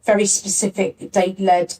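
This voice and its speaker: A woman's voice: medium pitch, flat delivery, moderate pace, mellow. A woman talking informally as a panellist at a live event, on a distant backup recording. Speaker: panellist, pantomime scriptwriter. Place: arts centre venue, picked up from afar.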